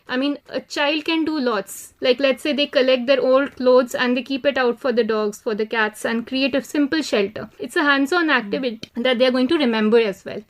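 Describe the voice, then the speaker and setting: Female panelist, Indian accent, high-pitched, conversational explainer.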